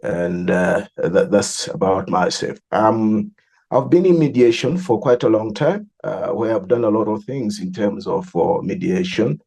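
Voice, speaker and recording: A middle-aged man with a Malawian accent, explaining his work in an interview in a deliberate way, repeating phrases for emphasis. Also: on the low side, gravelly, darkish tone, slightly rough. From southern Malawi. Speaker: religious leader and mediator interviewee.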